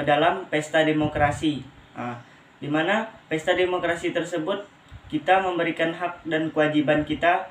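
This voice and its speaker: A young man speaks haltingly in a slightly high voice, giving his opinion. The room echoes and there is faint noise. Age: young adult. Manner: hesitant, halting. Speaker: student interviewee. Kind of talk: spoken opinion to camera.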